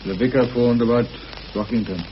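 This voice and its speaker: A man's voice: mid-range voice, fairly even intonation, brisk, close to an everyday voice. Actor as an edgy, wary man, dull recording. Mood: guarded. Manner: nervous, apologetic, self-correcting. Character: edgy, wary male conspirator, former prisoner of war. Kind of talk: British radio drama acting. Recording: dull, hissy old radio drama recording.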